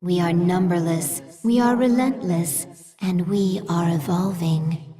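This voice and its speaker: A zealous alien servant creature in a game cinematic, her voice low-ish and mellow as she chants slow, fervent declarations. The voice sounds set back from the mic, with faint music behind. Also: distant mic.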